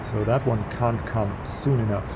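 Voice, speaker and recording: A man who is not a native English speaker, casually commenting on a city-building game as he plays, easygoing and jokey, thinking out loud. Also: fairly low pitch, steady tone, normal speed, over loud music, low-quality recording. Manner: easygoing, jokey, thinks aloud while playing. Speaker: let's play host.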